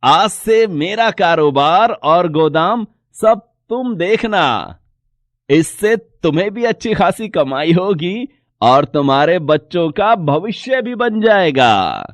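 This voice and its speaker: A man voicing a rich, lonely village landowner in a moral story, speaking in a deliberate, emphatic way. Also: sits high, big pitch swings, no echo, full character voice. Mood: grateful, remorseful. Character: rich lonely male landowner, miserly turned grateful. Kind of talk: acted moral story dialogue.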